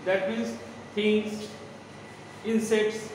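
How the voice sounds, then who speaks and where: A man, teaching a grammar lesson slowly and repeating phrases as he writes on the board. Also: sits high, flat delivery, a little mellow. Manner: slow, repeats phrases while writing on a board. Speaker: school English teacher. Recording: echoey room, distant mic, background noise.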